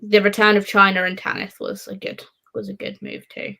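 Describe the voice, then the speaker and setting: A woman with a medium-pitched voice talks casually in a live readalong discussion. She rambles from thought to thought with wry phrasing and easy, frequent swearing. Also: flat delivery, a little fast, gravelly, pushed and bright. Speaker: book readalong panellist.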